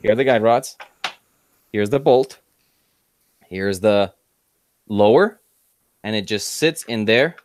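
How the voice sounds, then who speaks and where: A young man, excitedly demonstrating a rifle on a video call from his workshop, full of fillers and restarts. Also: lowish voice, takes it slowly, long pauses, no room sound. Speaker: panelist showing a rifle. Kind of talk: casual chat, show-and-tell demo. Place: cluttered workshop indoors. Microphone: headset, video call.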